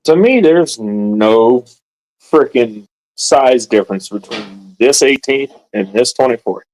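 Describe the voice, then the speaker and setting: Calm explaining man. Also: medium pitch, slow, poor audio. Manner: calm, explanatory, laid-back. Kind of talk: casual chat.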